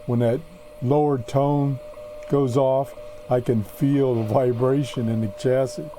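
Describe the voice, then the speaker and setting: A man hosting a casual hobby radio show from his workshop, talking off the cuff in a halting, matter-of-fact way with pauses mid-sentence. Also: medium pitch, fairly even intonation, mellow, slight background noise. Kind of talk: casual unscripted radio demonstration. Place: home workshop.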